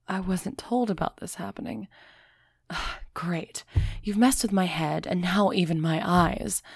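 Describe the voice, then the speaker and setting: A woman playing an aloof, commanding yet teasing female vampire in an intimate ASMR roleplay audio drama, speaking in a measured, softly commanding way. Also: medium pitch, vocal fry, slightly rough, dry recording, studio quality, full character voice. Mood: startled, flustered.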